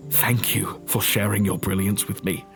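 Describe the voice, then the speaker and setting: A man playing a gentle, grieving doctor in an audio drama, speaking earnestly and with measured care. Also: lowish voice, steady tone, over music, lightly acted. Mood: tender, earnest. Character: gentle, grieving Doctor Watson, caring male friend.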